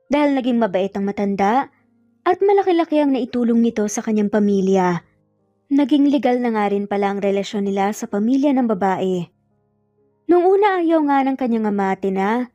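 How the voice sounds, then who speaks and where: A woman's voice: fairly high pitch, dark tone, dry recording. A woman with a Filipino accent reading a scripted dramatic story as a storyteller. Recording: dull lo-fi audio, music behind. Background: background music.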